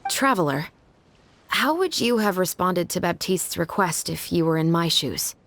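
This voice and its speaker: Composed, low-pitched young officer. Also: female voice, lively intonation, measured pace, fairly bright tone, exaggerated voice. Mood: reflective, polite. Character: composed, serious, thoughtful young female patrol officer. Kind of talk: anime game voice acting.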